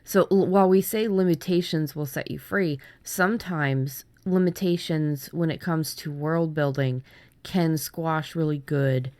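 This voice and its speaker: A woman's voice: sits low, measured pace, a little mellow, no echo. A woman co-hosting a casual writing workshop chat, speaking informally and often breaking off and restarting her thoughts. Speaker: podcast co-host and writer.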